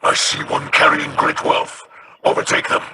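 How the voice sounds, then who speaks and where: A man's voice: on the high side, completely flat delivery, normal speed, sharp tone, a little hiss or hum. A man voicing a grave, proud alien warrior captain in a video game, giving formal, clipped commands. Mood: stern.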